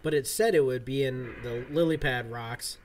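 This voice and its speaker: A man's voice: medium pitch, moderate pace. A man in his thirties chatting casually on a livestream from his home gaming room, rambling with lots of fillers. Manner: laid-back, rambling, many fillers. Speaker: livestream gamer. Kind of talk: casual livestream chat while playing.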